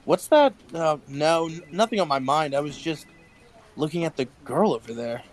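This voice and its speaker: A man's voice: a little high, animated, moderate pace, close to an everyday voice. A man playing a guarded, nervous office worker in an audio drama, hesitant and defensive.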